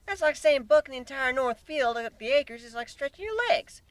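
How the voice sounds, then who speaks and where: A man puts on a blunt country mare from a fantasy tale, folksy and disapproving. The voice is lifted high and sounds incredulous, close and dry. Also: animated, moderate pace, a bit bright, no echo.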